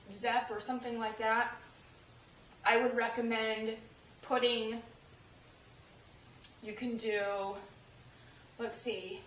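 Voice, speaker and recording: A young woman with a hoarse, fading voice, chatting through a cleaning demonstration with lots of fillers and restarts. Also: on the high side, a bit bright, slight background noise. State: losing her voice. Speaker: cleaning tips creator. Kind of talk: casual how-to demonstration. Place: home kitchen. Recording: echoey room, voice from a distance.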